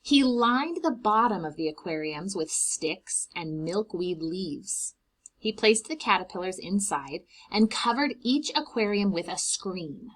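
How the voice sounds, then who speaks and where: A woman reading a children's storybook aloud in an expressive way, explaining things simply to young children. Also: medium pitch, unhurried, poor audio. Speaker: read-aloud host for preschoolers.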